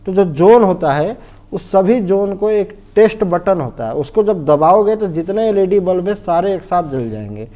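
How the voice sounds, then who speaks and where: A man's voice: sits high, animated, normal speed, warm tone. A man with an Indian accent giving an informal technical lesson as a fire safety instructor, explaining step by step, repeating himself and often checking that he is understood. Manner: didactic, repetitive, often checks understanding and asks himself questions.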